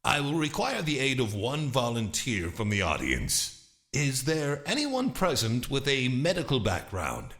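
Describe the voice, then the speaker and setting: A man's voice: medium pitch, wildly swooping pitch, unhurried, a bit bright, heavily acted. A man playing a formal, grandiose and menacing stage magician in a dramatized audiobook. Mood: probing, courteous. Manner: formal, courteous, grandiose showman. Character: theatrical, menacing stage magician, master of shadows.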